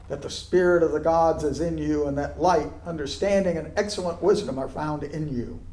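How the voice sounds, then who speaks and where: A man reading scripture aloud in a solemn style, with deliberate, declamatory phrasing. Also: fairly high pitch, dark tone. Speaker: scripture reader. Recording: echoey, roomy sound.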